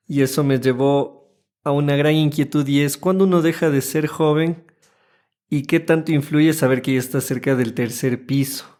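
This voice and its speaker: A man in his thirties with an Ecuadorian Spanish accent, co-hosting a casual podcast chat in an animated, playful way. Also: mid-range voice. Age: 30s. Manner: animated, chatty, playful teasing. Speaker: podcast co-host.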